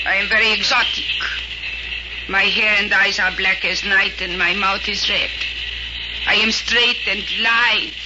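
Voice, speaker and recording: Actress as an exotic dancer, muffled radio. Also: female voice, medium pitch, steady tone, a little slow, lightly acted. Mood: proud. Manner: broken English, intense, devoted. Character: proud, fierce, exotic young foreign dancing girl, devoted assistant. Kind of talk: 1940s radio acting. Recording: noisy, dull old radio recording.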